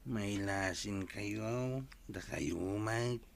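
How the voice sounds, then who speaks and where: A man playing an ailing villager in a comedy drama. He answers slowly and gratefully in brief replies, with an Ilocano accent. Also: fairly low pitch, gravelly, a crowd in the background, exaggerated voice. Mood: weak, grateful.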